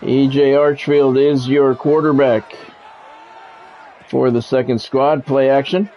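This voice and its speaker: A man calling live play-by-play of a football video game in measured, short clipped announcer phrases. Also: mid-range voice, little pitch movement, warm tone, some chatter behind, slight background noise. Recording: dull lo-fi audio with music behind.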